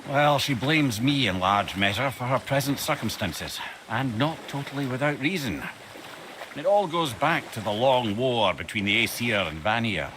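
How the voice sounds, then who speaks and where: Chatty, wry older Scottish sage, a talking severed head male actor, very lively pitch, game dialogue over clear background noise. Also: normal speed, close to an everyday voice. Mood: wry storytelling. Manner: chatty, rambling storyteller, wryly humorous. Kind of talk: video game character dialogue.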